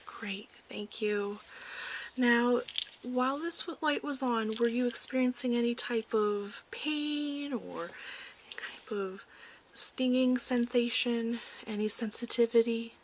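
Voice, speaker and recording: A woman speaking softly and reassuringly in an ASMR roleplay as a doctor giving a check-up, often slipping into whispers. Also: on the high side, takes it slowly, slight background noise, rough recording. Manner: gentle, hushed, reassuring, dropping into whispers. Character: polite research lab doctor giving a check-up. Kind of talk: soft-spoken ASMR medical exam roleplay.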